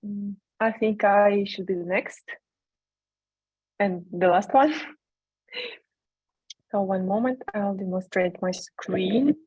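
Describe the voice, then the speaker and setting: A woman from Russia with a Russian accent presenting slides in non-native English over a video call, in a measured way with pauses. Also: medium pitch, a little mellow, husky, dry recording. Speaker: conference speaker, dog trainer. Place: video call with screen sharing. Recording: dull lo-fi online call audio.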